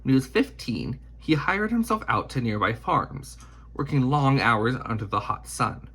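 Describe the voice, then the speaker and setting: A man reading a children's picture book aloud in deliberate, emphatic phrasing. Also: mid-range voice, big pitch swings, a bit bright, breathy edge. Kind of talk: scripted storybook read-aloud.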